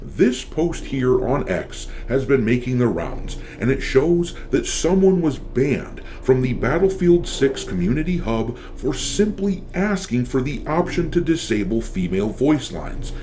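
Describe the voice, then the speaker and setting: A man's voice: medium pitch, very animated, a little slow, hardly any pauses, warm tone, a little hiss or hum. A man delivering scripted opinion commentary as a channel host, with a blunt, sardonic, profane manner.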